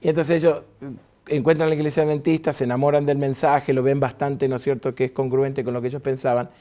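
A middle-aged man speaks Spanish with an Argentine accent, joking and laughing as he tells his story. His voice sits at an ordinary male pitch. He sounds clean and close through a lapel mic on a TV studio set. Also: level tone, fast talker, mellow. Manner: jovial, laughs often, chatty with restarts. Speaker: pastor and guest. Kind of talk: relaxed interview storytelling.

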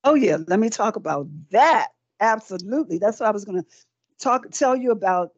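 A woman's voice: mid-range voice, expressive, fairly quick, breathy edge, dry recording. Chatty woman cooking on camera, lo-fi. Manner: chatty and encouraging, repeats words while working. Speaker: cooking demonstrator. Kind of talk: live cooking demonstration. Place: small indoor set with folding screens. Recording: dry, dull lo-fi sound.

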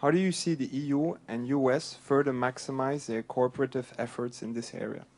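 A man's voice: medium pitch, steady tone, brisk, darkish tone, slight background noise. Young belgian guy in the audience, mid voice, reading a polite prepared question. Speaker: audience member asking a question.

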